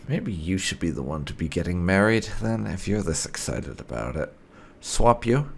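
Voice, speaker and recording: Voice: sits low, very animated, normal speed, vocal fry, slight background noise, pitched below the performer's own voice, exaggerated voice. A gamer voicing a reluctant, grumbling young elf bride in a whining, sarcastic way. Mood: sulky.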